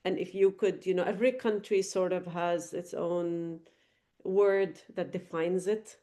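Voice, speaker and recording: Mellow-voiced Lebanese-raised woman guest. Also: lowish voice, fairly even intonation, a little slow. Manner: thoughtful, earnest, with frequent fillers and restarts. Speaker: podcast guest. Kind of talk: reflective interview answers.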